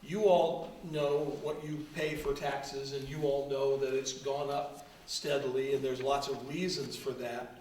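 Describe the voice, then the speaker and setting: A man who is a county administrator, giving a relaxed, joking presentation at a town meeting. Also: medium pitch, unhurried. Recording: echoey hall, far from mic, clear noise.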